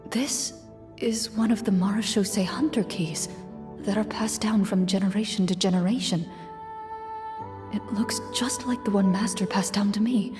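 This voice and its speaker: A woman voicing a composed young woman game master in a video game, narrating calmly and with measured care. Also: mid-range voice, music in the background, close to an everyday voice.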